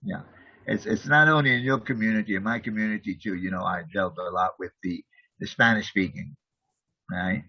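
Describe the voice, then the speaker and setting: A man's voice: lowish voice, steady tone, a little fast, no echo, muffled recording. A man working in community outreach, speaking on an online call. He explains at length, repeating words as he goes. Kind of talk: online group meeting.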